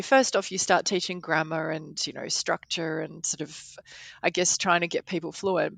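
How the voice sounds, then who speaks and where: A woman's voice: medium pitch, gravelly, a bit bright, no room sound. A woman in her forties who grew up in Poland, a podcast editor and language teacher, talking through her ideas thoughtfully in a casual interview. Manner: thoughtful, explains with examples.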